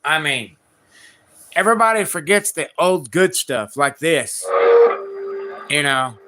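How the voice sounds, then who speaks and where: A man's voice: on the high side, lively intonation, slow. An American man casually showing off gear and making CB radio calls, with a slangy, folksy, enthusiastic manner. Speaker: CB radio hobbyist, live streamer. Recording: noisy room with radio static.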